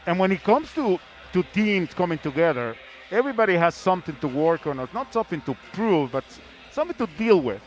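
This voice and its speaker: A high-voiced man hosts a basketball broadcast in a conversational way. He builds his questions haltingly, repeating words as he goes. Faint music can sit behind his voice. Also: very animated. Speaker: sports broadcast host.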